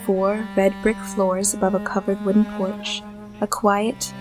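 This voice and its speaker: An actress playing a composed heroine who narrates her own tale in an audio drama, measured and formal. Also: mid-range voice, close to an everyday voice. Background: cello music.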